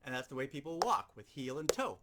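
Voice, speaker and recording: A man's voice: mid-range voice, big pitch swings, fast, very noisy. A man giving an instructional demonstration as a sound effects teacher, explaining things step by step and repeating key points.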